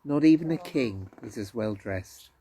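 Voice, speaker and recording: A man's voice: medium pitch, animated, a little slow, mellow, slightly rough. A man voicing a kindly, reassuring male teacher in a children's Bible story, asking playful questions and comforting his listeners. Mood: tender reassurance. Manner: rhetorical questioning, tender and reassuring.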